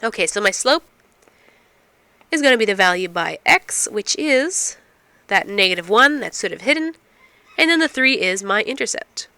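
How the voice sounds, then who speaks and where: A woman's voice: medium pitch, animated, moderate pace, pauses often, bright, forceful tone, dry recording. A woman instructor explaining math step by step, asking and answering her own questions, while getting over a cold. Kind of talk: recorded math lecture.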